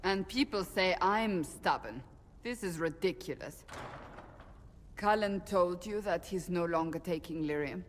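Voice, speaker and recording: Stern, low-voiced warrior woman, acted. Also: unhurried, slight background noise, natural voice. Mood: exasperated. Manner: firm, formal, matter-of-fact. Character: blunt, stern, principled female holy-order warrior. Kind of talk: dramatic game voice acting. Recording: long reverb.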